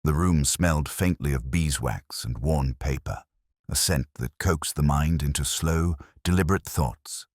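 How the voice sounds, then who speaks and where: Really deep male voice reading a detective story, brooding and measured, super dry sound. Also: a little mellow, slightly rough, no room sound. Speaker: narrator. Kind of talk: scripted first-person detective story narration.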